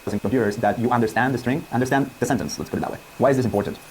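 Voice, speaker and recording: A man's voice: mid-range voice, very fast, darkish tone, slight background noise, low-quality recording. A man giving a classroom lecture, explaining in a questioning, step-by-step way. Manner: explanatory, asks and answers his own questions.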